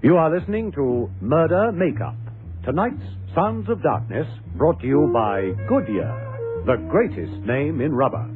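A man works as a dramatic old-time radio announcer. His voice is on the higher side and very lively, sitting over loud music in a narrow, tinny broadcast. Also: measured pace. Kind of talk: 1960s-70s dramatic sponsor announcement.

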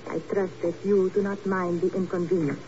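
An actress playing a cool, prickly foreign hospital vault keeper in a 1950s radio drama, stiffly polite and precise. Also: female voice, on the low side, level tone, normal speed, close to an everyday voice. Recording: muffled, noisy old radio recording.

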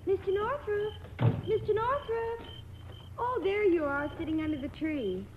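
High-voiced, anxious young household helper. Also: female voice, expressive, a little slow. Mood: anxious, coaxing. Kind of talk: 1940s radio acting. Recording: tinny noisy old radio broadcast.

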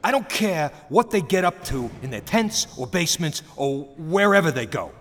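A man's voice: a little high, very animated, moderate pace, a bit bright, in a large hall. An actor playing a surly, self-righteous male gangster in a radio drama, defiant and pious in his talk. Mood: righteous, indignant.

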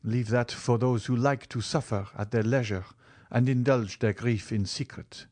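A man playing a passionate, desperate young gentleman in love in an audiobook, speaking with earnest, formal urgency. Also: mid-range voice, steady tone, moderate pace, lightly acted. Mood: resolute. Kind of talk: dramatic audiobook character acting.

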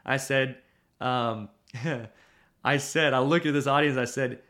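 A man's voice: mid-range voice, fairly even intonation, slow pace, fairly bright tone. A man, a preacher hosting his podcast, chatting and telling a self-deprecating story with lots of fillers. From Tennessee. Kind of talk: casual podcast chat and storytelling.

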